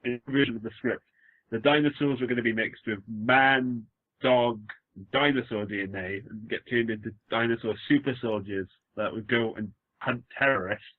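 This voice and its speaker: A man's voice: mid-range voice, moderate pace, no room sound. A man with a Welsh accent co-hosting a casual podcast, rambling and joking through a story with frequent restarts. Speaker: podcast co-host. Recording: phone-like lo-fi dry sound.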